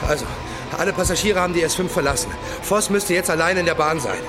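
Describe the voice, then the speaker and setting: A man's voice: fairly high pitch, fast talker, natural voice. A man acting a wry, matter-of-fact police detective in a radio drama. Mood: brisk reporting. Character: wry male police detective reporting to his chief. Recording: loud music behind the voice.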